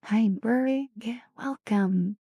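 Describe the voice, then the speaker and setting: A woman streamer chatting casually with viewers in a soft ASMR style, her voice raspy and deeper than usual, often slipping into whispers. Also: very slow, no echo, highs cut off. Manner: chatty, greets and thanks viewers.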